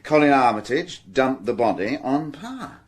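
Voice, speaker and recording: A dogged detective in a radio crime drama, British-accented and slightly husky. His delivery swings widely in pitch, moving between hesitant musing and exasperated protest. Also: sing-song, measured pace. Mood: weary, explaining. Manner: hesitant musing breaking into indignant outbursts. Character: dogged, exasperated male French police detective.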